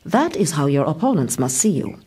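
A woman playing a stern martial arts master in a comic audio drama, husky, commanding and haughty. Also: low voice, very animated, fairly quick, mellow, full character voice. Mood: cold, commanding.

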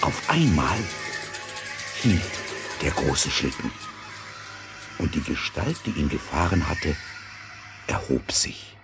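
A man narrating a scripted fairy tale in a dramatic, deliberate storytelling manner. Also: extremely low pitch, sing-song, over music.

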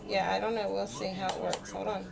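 A woman's voice: medium pitch, flat delivery, a little fast, creaky, over a noisy crowd. A woman in her thirties, a mother at a kitchen table at home, patiently walking her young daughter through a board game, reading the rules aloud and counting the moves.

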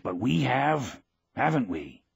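A man's voice: mid-range voice, sing-song, slow pace, gravelly, breathy edge, dry recording, pitched above the performer's own voice, heavily acted. A man voicing a loyal, affectionate boy in an audiobook, eager and respectful in manner. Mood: earnest, eager. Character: loyal, earnest, affectionate boy fishing apprentice.

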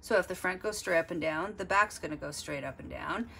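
A woman's voice: lowish voice, fairly quick, sharp tone, breathy edge. A woman art teacher giving a step-by-step drawing lesson, explaining as she works and thinking aloud. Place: desk, overhead camera on drawing.

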